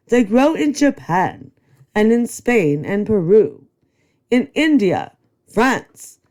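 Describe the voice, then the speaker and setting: A woman's voice: medium pitch, darkish tone. A woman voicing a fussy, bossy male school principal in a read-aloud storybook, declaiming in rhyme.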